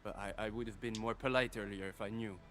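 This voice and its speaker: A man's voice: on the low side, fairly even intonation, fast talker, a crowd in the background, close to an everyday voice. Male actor as earnest, loyal logistics supervisor. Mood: worried, earnest.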